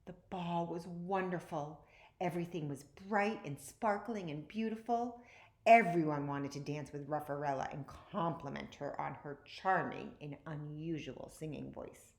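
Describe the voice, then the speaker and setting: A woman reading a children's story aloud with animated, emphatic narration. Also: sits low, a little slow. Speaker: storytime reader. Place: indoor room, recorded at home. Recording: roomy, echoey, faint background noise.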